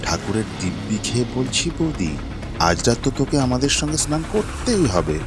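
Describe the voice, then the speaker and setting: A man's voice: medium pitch, big pitch swings, normal speed. A man playing a cocky, lecherous village gang leader in a cartoon, with a swaggering way of talking. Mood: leering. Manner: swaggering, repeats an oath catchphrase. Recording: music playing behind the voice.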